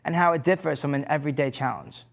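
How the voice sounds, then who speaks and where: A man's voice: a little high, fast talker, gravelly. A young man co-hosting a faith show, reading scripted lines in turns with his partner. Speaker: co-host. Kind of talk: scripted presenting.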